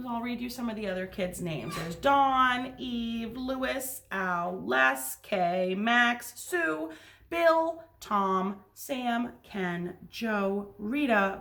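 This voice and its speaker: A woman with a fairly high voice reads a picture book as a teacher would to young pupils. She moves between narration and simple explanations, often checking in with her listeners through questions. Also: fairly bright tone. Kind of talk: read-aloud storytime with asides to children.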